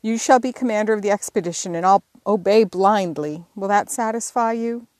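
A woman's voice: medium pitch, fairly quick, a little mellow, close to an everyday voice. A woman narrator plays a blunt, stubborn older sister who grumbles wryly in her own plain voice. The sound is close and dry, with no echo. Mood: resigned. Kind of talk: audiobook character reading.

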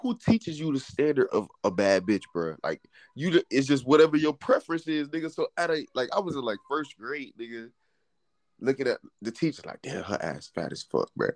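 A man in his twenties co-hosting a podcast, talking in an animated way that swings from low mutters to laughing outbursts. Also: a little fast, no echo. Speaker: podcast co-host. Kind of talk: casual podcast chat.

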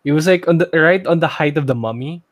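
A man's voice: on the high side, fast, no room sound. Filipino man, dry close sound, joking casually. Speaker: podcast co-host. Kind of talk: casual chat about films.

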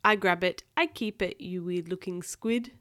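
A woman's voice: lowish voice, normal speed, fairly bright tone, no echo, studio quality, close to an everyday voice. A colossal squid, as a woman reader puts it on, full of boast and taunt. It is a storybook monster that gloats over its catch and greedily refuses to let go. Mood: grabby, taunting. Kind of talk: storybook read aloud for a child.

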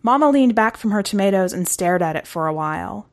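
A woman's voice: medium pitch, animated, fairly quick. A woman reading a first-person short story aloud in a conversational, wry way. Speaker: story narrator.

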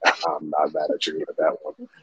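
A man's voice: rumbling low, monotone, moderate pace, fairly bright tone, slightly rough, no echo. A man co-hosting a podcast in casual chat, rambling and swearing freely as he talks. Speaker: podcast co-host. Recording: dull lo-fi dry recording.

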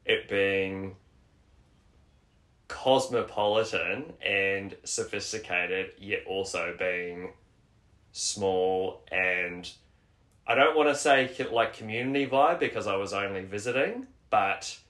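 A young man talks loosely as a podcast guest, circling back and correcting himself as he goes. His voice sits on the low side. The room around him is noticeably echoey. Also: slow, a bit bright. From Australia. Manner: chatty, rambling with self-corrections. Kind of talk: casual interview chat.

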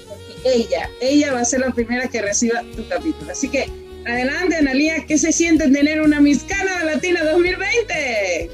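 A woman's voice: sits high, animated, moderate pace, over music. A woman hosting a radio show, effusive and welcoming, piling on superlatives as she introduces a guest. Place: Toronto.

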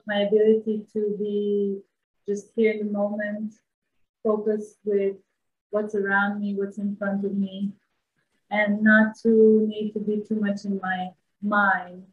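A woman's voice: mid-range voice, flat delivery, a little slow, narrow, tinny audio, slight background noise. Echoey, thoughtful woman from Bosnia. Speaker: interview guest. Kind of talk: reflective casual interview talk. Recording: echoey room sound.

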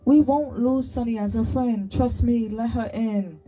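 Woman acting bossy, quick-tempered young girl, pitched up. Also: distant mic, muffled recording. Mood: defiant. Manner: blunt, retorting, loud.

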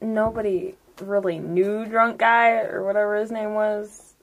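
A woman's voice: mid-range voice, fairly even intonation, measured pace. A woman playing a tabletop game, improvising her part in a casual, chatty way. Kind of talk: casual chat, improvised role-play.

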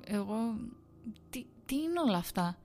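A shaken young husband who stammers through halting questions, read by a woman storyteller in a voice close to her own. The pitch stays mid-range. Faint music runs underneath. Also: big pitch swings, darkish tone.

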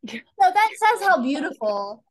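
A woman's voice: very high-pitched, big pitch swings, a little slow. A woman co-hosting a book podcast with casual banter and a blunt, irreverent way of talking.

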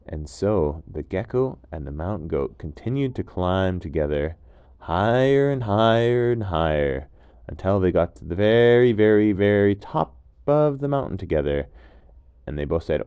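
A man telling a children's bedtime story in a gentle storytelling style, repeating words for emphasis. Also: low voice, smooth, no echo, clean studio sound.

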